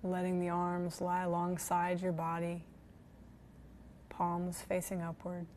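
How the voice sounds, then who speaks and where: A woman guiding a body scan meditation in a gentle, soothing manner, leaving long pauses between phrases. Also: lowish voice, completely flat delivery, slight background noise. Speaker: meditation guide.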